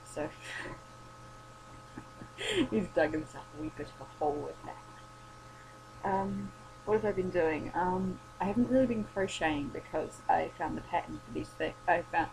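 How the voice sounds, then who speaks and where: A young woman talks in a low, worn, tired voice. She rambles and swears casually, losing her voice a little. A steady hum runs under the home recording. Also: measured pace, gravelly, pauses often. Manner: rambling, sweary, self-deprecating. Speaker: vlogger. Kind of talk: casual late-night chat.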